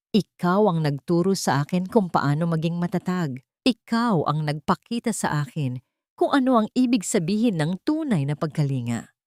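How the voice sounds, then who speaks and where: A woman's voice: fairly low pitch, dark tone, no echo, studio quality, lightly acted. A woman voicing an earnest, devoted father in an audio drama, speaking sincerely and solemnly. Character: David, earnest, devoted adult father and wealthy employer. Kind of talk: Tagalog audio drama dialogue.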